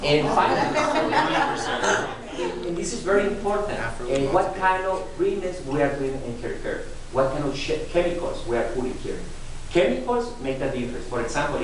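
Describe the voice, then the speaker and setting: A man with a Mexican accent, Spanish his first language, giving an enthusiastic sales pitch in broken English during a beauty school class. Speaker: product presenter. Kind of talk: class demonstration. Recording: roomy, from far off, faint noise.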